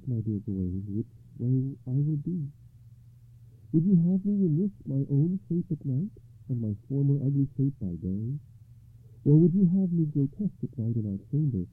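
Casting: man putting on a poised enchanted lady, slow and high, a bit husky, tinny phone-like sound. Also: very animated. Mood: gentle, poised. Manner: slow, raised and deliberate. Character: enchanted noble lady, calm and wise. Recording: dry phone-like muffled sound.

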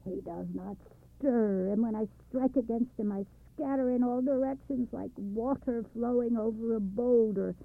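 A woman puts on the howling voice of an ancient wind spirit, furious at the mountain that blocks his path. He roars high and raspy, with a gravelly edge. The sound is thin and tinny, like a phone line. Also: moderate pace, hardly any pauses. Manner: roaring, shouting. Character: huge roaring male wind, gloomy and impatient.

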